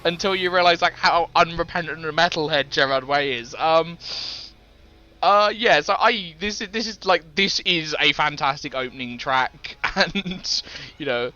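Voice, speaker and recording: A man's voice: on the high side, measured pace, sharp tone, lo-fi sound. A man co-hosting a casual music podcast, rambling with many fillers and restarts and laughing easily. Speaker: podcast co-host. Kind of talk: casual chat about music.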